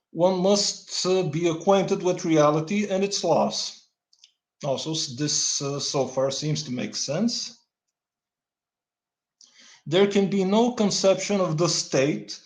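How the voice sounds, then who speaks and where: A man whose first language is Bulgarian reads a dense text aloud in a slightly high voice, halting and restarting words as he goes. He breaks off now and then with deadpan, wry remarks. The recording sounds roomy and echoey. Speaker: channel host reading a text aloud. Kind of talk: halting read-aloud with dry asides.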